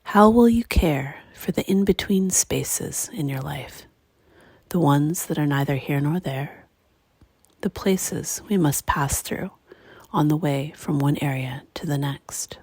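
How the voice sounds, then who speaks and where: Woman, meditation guide, hushed and breathy, gentle slow phrasing, creaky edges, very dry close sound. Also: low voice, no echo.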